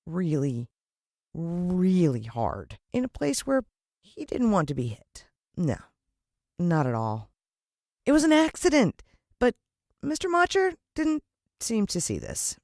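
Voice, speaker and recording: American female storyteller for children's audiobook: highly varied pitch, dramatic emphasis, close dry sound. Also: wildly swooping pitch, takes it slowly, pauses often, darkish tone, no echo. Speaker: audiobook narrator. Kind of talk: expressive children's story narration.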